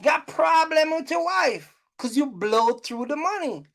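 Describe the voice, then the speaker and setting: Female YouTube host, higher-pitched voice, blunt scolding advice, off-the-cuff and repetitive for emphasis. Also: expressive, unhurried. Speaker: YouTube host giving trucking business advice. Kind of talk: casual unscripted rant to viewers.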